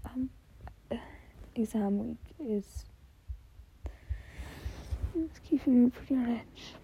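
Young adult woman, high, creaky, whispery voice; tearful, stammering, apologetic intimate delivery to a partner. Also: dark tone, slight background noise, poor audio. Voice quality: breathy, whispery voice. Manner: halting, apologetic, stammering repetitions. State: anxious, tearful, close to crying. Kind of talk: intimate whispered monologue, audio roleplay.

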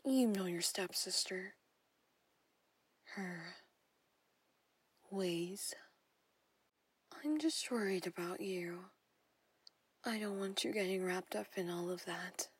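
A woman's voice: fairly low pitch, takes it slowly, pauses often, no echo, exaggerated voice. A woman in a whispered audio roleplay, playing a jealous, possessive stepmother who coaxes and controls. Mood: coaxing, concerned. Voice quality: breathy whisper. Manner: intimate whispering, coaxing and controlling.

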